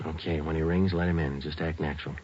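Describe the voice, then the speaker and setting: Flat-spoken cop, very deep voice, clipped lines, old radio sound. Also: male voice, fast talker, slight background noise. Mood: terse. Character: male police sergeant, terse and calm. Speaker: detective narrator. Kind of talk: 1940s radio drama. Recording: dull old radio transcription.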